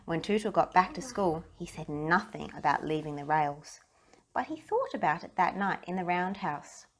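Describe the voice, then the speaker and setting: Lower-pitched woman reading a storybook. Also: lively intonation, moderate pace, slight background noise. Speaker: children's book narrator. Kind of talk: read-aloud storybook narration.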